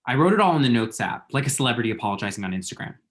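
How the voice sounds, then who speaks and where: A man's voice: mid-range voice, big pitch swings, rapid-fire, a bit bright. Man acting a chatty, flippant lovesick young guy. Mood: self-deprecating. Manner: chatty, dry, self-deprecating, pop-culture asides. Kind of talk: screenplay table read.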